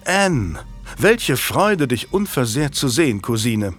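A man's voice: mid-range voice, sing-song, slow pace, a bit bright, soft background music, heavily acted. A man playing a calculating young royal knight. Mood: falsely cheerful. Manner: smooth, calculating. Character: arrogant, self-assured young royal knight.

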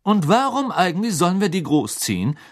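A man's voice: high voice, sing-song, brisk, voice pushed up. A man voicing a cold, arrogant teenage boy with a dry, sarcastic drawl. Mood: sneering.

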